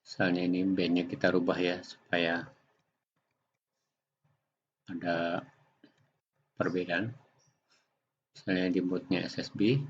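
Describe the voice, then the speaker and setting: A man giving an unscripted software tutorial, explaining step by step in a halting way. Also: low-pitched, flat delivery, slight background noise. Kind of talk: unscripted screen-recording tutorial. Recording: dull lo-fi home recording.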